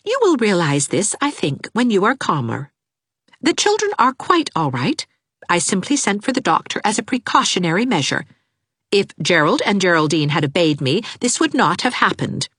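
A woman reading aloud, voicing a dignified young schoolteacher who speaks in a crisp, icy, stately way. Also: mid-range voice, sing-song, moderate pace, fairly bright tone, no room sound, clean studio sound, voice pushed up. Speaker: teacher.

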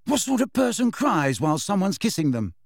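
Man doing an excitable, blunt teenage boy. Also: on the high side, measured pace, no room sound, studio quality. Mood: indignant. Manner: blunt, indignant.

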